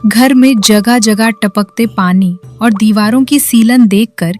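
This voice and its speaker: A woman narrating a cartoon story in an even, storytelling manner. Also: a little high, slow pace, soft background music.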